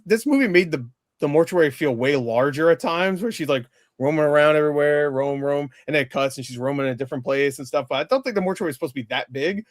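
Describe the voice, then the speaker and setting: A man's voice: a little high, no room sound, clean studio sound. A man chatting casually as a movie reviewer, swearing freely and acting out reactions as he goes. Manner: acts out reactions in quoted lines. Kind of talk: casual film review chat.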